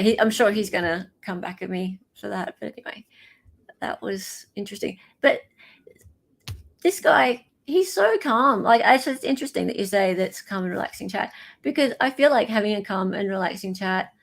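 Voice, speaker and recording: Creaky-voiced Australian woman. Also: medium pitch. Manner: informal, musing aloud. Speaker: livestream host and commentator. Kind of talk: casual live commentary chat.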